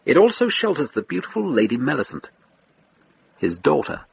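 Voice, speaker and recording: A man giving scripted, dramatic narration for a period radio play, in a gravelly voice. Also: mid-range voice, wildly swooping pitch, moderate pace, a little hiss or hum.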